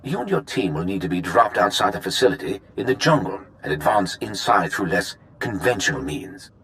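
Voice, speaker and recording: Suave, swooping low-voiced corporate executive. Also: male voice, very animated, fairly quick, a bit bright. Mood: smooth, evasive. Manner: suave, glib, wordy corporate formality. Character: smooth, self-serving male corporate research executive in science fiction. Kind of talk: video game cutscene acting. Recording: music behind the voice.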